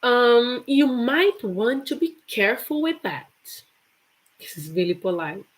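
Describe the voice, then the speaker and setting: A woman's voice: fairly high pitch, lively intonation, a little slow. Chatty woman teaching English. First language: Portuguese. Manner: chatty, repeats example phrases with laughs. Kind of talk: live online English lesson.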